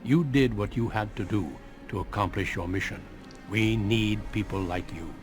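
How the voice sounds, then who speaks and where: A man playing a grave, authoritative veteran warrior in a fantasy video game, speaking in a solemn, measured way. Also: on the low side, music in the background, natural voice. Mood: stern, urgent. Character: grave commanding veteran warrior, a male Grey Warden recruiter.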